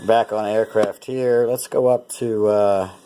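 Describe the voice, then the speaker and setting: A man's voice: fairly low pitch, fairly even intonation, measured pace, warm tone, clean tone. A North American man giving a casual, unscripted product demonstration, thinking aloud in a plain-spoken, conversational way. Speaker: presenter demonstrating a vintage radio.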